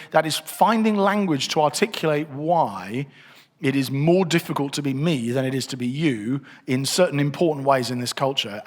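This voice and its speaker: A middle-aged man giving a conversational church teaching session, thinking aloud as he works through his slides. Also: mid-range voice. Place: church hall. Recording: long reverb of a hall.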